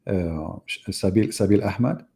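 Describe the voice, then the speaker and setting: A man's voice: deep voice, a little slow, creaky, mellow. A man hosting a live debate stream, talking in a mocking, ironic way with rambling asides. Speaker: livestream debate host. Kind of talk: sarcastic live-stream commentary.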